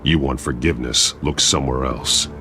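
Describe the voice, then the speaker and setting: An actor playing a grim, commanding masked vigilante in a video game, speaking in terse, stern phrases. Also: male voice, rumbling low, steady tone, moderate pace, music in the background.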